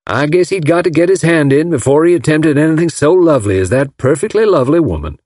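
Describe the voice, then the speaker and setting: A man voicing a wealthy, folksy gentleman from the South, talking effusively. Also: fairly high pitch, expressive, quick pace, dry recording, clean studio sound, pitched above the performer's own voice. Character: wealthy Alabama gentleman, gushing and admiring.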